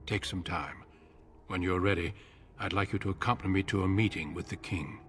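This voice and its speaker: A man voicing a grave, solemn commander who speaks in measured tones. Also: deep voice, fairly bright tone, a little hiss or hum. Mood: calm. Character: grave middle-aged male warrior commander, solemn. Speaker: game character.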